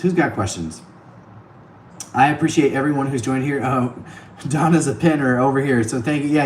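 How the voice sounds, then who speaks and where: A man's voice: on the low side, reverberant, a little hiss or hum. Chatty man hosting a livestream. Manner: chatty, thanks viewers by name, laughs often. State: voice getting worn. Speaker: livestream host. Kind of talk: casual livestream chat with viewers. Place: on the road, streaming from an iPad. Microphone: tablet mic.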